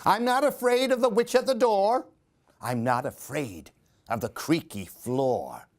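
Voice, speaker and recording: A man reading a children's story aloud in an expressive, theatrical, singsong way. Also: on the high side, a little slow. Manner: theatrical, singsong rhyming delivery.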